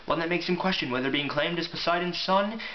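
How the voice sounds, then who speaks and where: A man's voice: on the high side, quick pace. A man talking casually and reading aloud in an informal, offhand way. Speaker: amateur book reviewer and reader. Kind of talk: casual rambling review and book read-aloud. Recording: echoey room, muffled, faint hiss.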